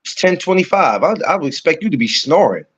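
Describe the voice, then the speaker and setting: A man's voice: a little high, sing-song, fast talker, slightly rough, highs cut off. A man, co-hosting a podcast, teasing his friend in playful banter. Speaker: podcast co-host. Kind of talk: casual chat.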